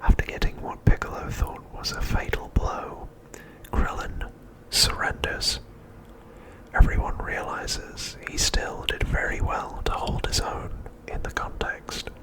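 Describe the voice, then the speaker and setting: Slow whispering man, ASMR narration. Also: poor audio. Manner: soft, unhurried whispering with deliberate pauses. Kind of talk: whispered ASMR, scripted episode recap narration.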